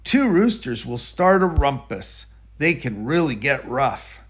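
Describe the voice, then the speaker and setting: A man narrating a children's picture book aloud in a deliberate, explanatory manner. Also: mid-range voice, sing-song, husky. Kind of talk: children's storybook read-aloud.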